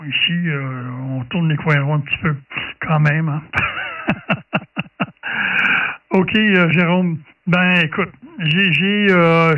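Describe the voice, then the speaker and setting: Quebec French man, higher-pitched voice, hesitant delivery, casual ham radio chat, thin phone-like sound. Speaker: amateur radio operator. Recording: narrow tinny sound, distant from mic.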